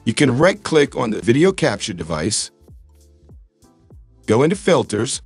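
Man voicing AI-cloned intense American actor type, husky, very lively pitch, tutorial demo, dry with faint music. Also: measured pace, no echo, heavily acted. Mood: explanatory. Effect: AI voice changer. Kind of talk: tutorial demonstration.

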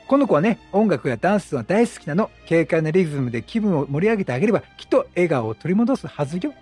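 A man's voice: on the high side, big pitch swings, fast, darkish tone, breathy edge, soft background music. A man voicing a flamboyant, confident male traveling entertainer from a video game, with effeminate, theatrical speech. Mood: affectionate.

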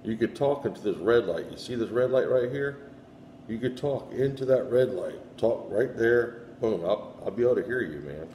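A man's voice: mid-range voice, animated, moderate pace, mellow. A middle-aged man hosting a paranormal investigation, talking off the cuff and putting questions aloud to unseen spirits in a bare room. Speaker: paranormal investigator, show host. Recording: echoey room with light background hum.

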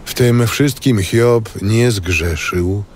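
A man giving solemn, measured scripted narration in a biblical radio drama. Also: on the low side, noisy background.

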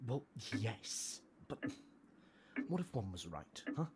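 A man's voice: on the low side, sing-song, slow. An actor playing a hesitant, doubting henchman in an audio drama, questioning as he talks. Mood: doubtful. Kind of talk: fan audio drama acting. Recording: loud background noise.

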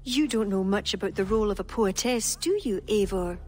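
An actress playing a brash, teasing young Irish warrior poetess in a game cutscene, with a wry, playful, theatrical manner. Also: female voice, medium pitch, a little fast, darkish tone, faint music behind, lightly acted. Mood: firm, arch.

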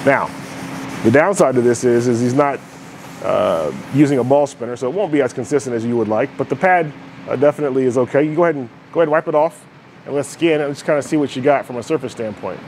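A man talks through a bowling ball test in a loose, explanatory way, dropping "right?" and fillers as he goes. His voice sits at an ordinary middle pitch. The clatter and hum of a bowling alley carry clearly behind him. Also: fast talker, darkish tone. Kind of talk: casual product demo commentary.

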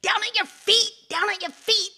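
Woman voicing a sly, high-pitched wheedling snake. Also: big pitch swings, unhurried, fairly bright tone, full character voice. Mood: urgent hissing call. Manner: wheedling, buddy-buddy, taunting. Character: sly, treacherous, wheedling male snake who gloats. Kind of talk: animated fable storytelling.

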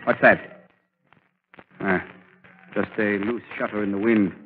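An actor playing an arrogant megalomaniac mind-reader villain in a 1930s radio drama, pompous, imperious and theatrical. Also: male voice, on the low side, steady tone, slow, soft background music. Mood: imperious, scornful. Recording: muffled, noisy 1930s radio recording, reverberant.

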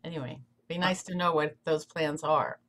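A woman's voice: sits low, steady tone, normal speed, fairly bright tone. A woman speaking as a committee member in a meeting over a video call. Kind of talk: meeting discussion.

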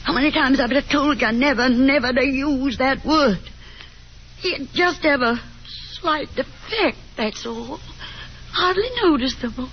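A woman's voice: high voice, measured pace. An actress playing a fussy, talkative, hopeful middle-aged mother in a 1950s radio drama, with a dramatic, insistent manner. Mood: coaxing, insistent. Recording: dull, noisy 1950s radio broadcast.

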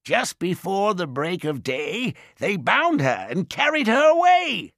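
Male narrator as a tiny rhyming brownie: high, gravelly, slow and swooping. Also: very animated, a bit bright, no echo, studio quality, full character voice. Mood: distraught. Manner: speaks in slow, singsong rhymes. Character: small, grieving male house brownie. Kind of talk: audiobook character voice.

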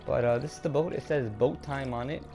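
A young man narrating a gameplay walkthrough casually, hesitating a lot with ums and restarts, his throat a little raspy. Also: mid-range voice, mellow, faint music behind. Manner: halting, many ums and restarts. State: slightly raspy throat, clearing it. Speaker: gaming YouTuber.

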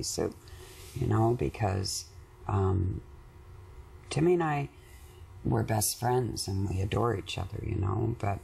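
A woman in her fifties with a husky, gravelly voice, talking candidly and emphatically about personal matters in conversation. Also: extremely low pitch, warm tone, slight background noise. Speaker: client in couples therapy interview. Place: small indoor TV studio living-room set.

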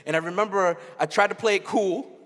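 A man in his thirties giving an animated, story-filled keynote talk to a youth conference crowd on a handheld mic. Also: high-pitched, brisk. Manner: animated, storytelling, acts out quotes. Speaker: keynote speaker. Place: large dark arena stage before a young crowd. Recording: long hall reverb.

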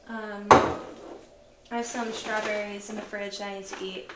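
A woman vlogger chatting casually through a grocery haul, relaxed and full of fillers, sounding tired. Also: mid-range voice. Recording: echoey room with noise.

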